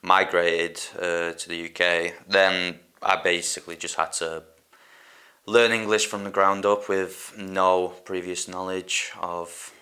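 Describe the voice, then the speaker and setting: A man's voice: low voice, flat delivery, slow, sharp tone. A young man from Hungary whose first language is Hungarian, talking in a casual documentary interview, hesitant and searching for words with lots of likes and ums. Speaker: band drummer.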